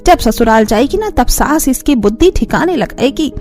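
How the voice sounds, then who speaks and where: Woman voicing a high, scolding old grandmother, very animated. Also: normal speed. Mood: scolding, taunting. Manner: taunting, nagging. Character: scolding, sharp-tongued old grandmother, harsh and disapproving. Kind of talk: Hindi family cartoon voice acting.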